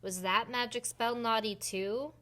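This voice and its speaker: A woman's voice: on the high side, fairly even intonation, a little slow, bright, forceful tone. A woman voicing a naughty little girl fairy in a picture book, puzzled and innocent, full of questions. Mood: sheepish.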